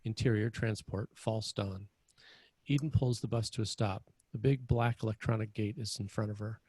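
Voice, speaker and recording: A man's voice: on the low side, steady tone, normal speed, darkish tone, slightly rough, no room sound. A man reading screenplay stage directions as a narrator at a table read.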